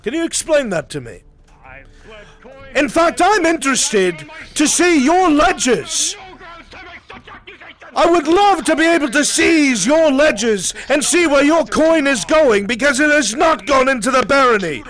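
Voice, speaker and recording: Male roleplayer as indignant noble warrior, high forceful voice, accusatory, formal, music underneath. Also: expressive, a little slow, exaggerated voice. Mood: furious. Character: proud, indignant male war lord accusing idle nobles. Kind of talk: improvised fantasy roleplay.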